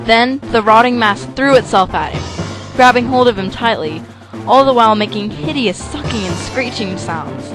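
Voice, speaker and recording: A woman's voice: on the high side, moderate pace, music in the background. A woman reading scripted, dramatic story narration for an amateur audio drama.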